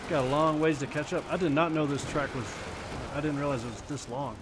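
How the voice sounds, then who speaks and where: A man's voice: a little high, brisk. A man in his forties from Kentucky, chatting casually and teasing viewers while he plays a racing game on a live stream. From Kentucky, USA. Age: 40s. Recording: game car noise behind voice. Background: racing game engine sounds.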